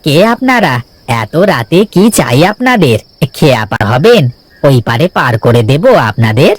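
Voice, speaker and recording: A man voicing a humble, caring boatman and adoptive father in an animated moral story, speaking earnestly and with feeling. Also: medium pitch, normal speed. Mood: wary, puzzled.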